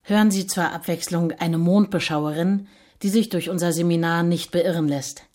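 A woman's voice: fairly low pitch, steady tone, moderate pace, a little mellow, natural voice. An actress playing a formal, wry woman seminar presenter making an announcement in a radio play. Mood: dryly formal.

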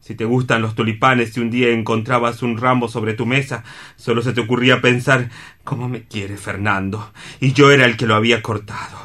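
A man's voice: medium pitch, flat delivery, brisk, a bit bright, close to an everyday voice. A man acting in a radio play as a bitter, anguished brother, speaking with impassioned reproach. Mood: tormented, impassioned. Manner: impassioned, reproachful, self-pitying.

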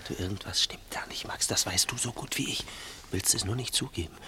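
An actor playing a timid, clumsy burglar's accomplice in a 1960s radio play, hushed, anxious and pleading. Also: male voice, fairly low pitch, brisk, whispered. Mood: anxious whisper. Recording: 1960s radio recording, music behind.

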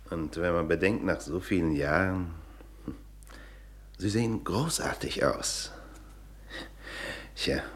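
A courteous gentleman visitor in a radio play. His voice is deep, and he speaks in a genteel, flattering way with small hesitations. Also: full character voice. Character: courteous gentleman guest, old acquaintance of the wife. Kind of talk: German radio play acting.

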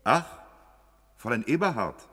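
An actor playing a naive, chatty junior court constable in a German radio play, with blunt colloquial talk. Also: male voice, mid-range voice, very animated, normal speed, a bit bright, slightly rough. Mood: casual, sheepish. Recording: long reverberant hall.

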